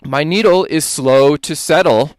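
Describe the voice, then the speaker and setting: A man performing a dramatic reading of an old lecture, with deliberate, emphatic, oratorical phrasing. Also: a little high, no room sound. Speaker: dramatic reader.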